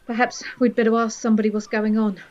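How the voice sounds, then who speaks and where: A woman's voice: a little high, level tone, fast, darkish tone, highs cut off, natural voice. A woman playing an upbeat, self-satisfied space hitchhiker, breezy and conversational, in a comic sci-fi audio drama. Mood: curious, excited.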